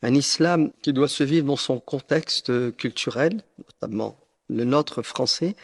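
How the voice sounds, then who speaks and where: This is a man. His voice sits at a middle pitch. He delivers a prepared statement formally and deliberately, with a solemn bearing throughout. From northern France. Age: late 50s. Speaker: preacher making a personal statement.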